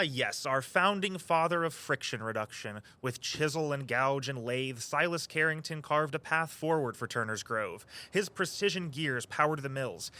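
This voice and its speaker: An actor playing an eager, earnest walking-tour guide who tells local history with a storyteller's flair. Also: male voice, medium pitch, pushed and bright. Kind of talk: audio drama dialogue, storytelling tour patter.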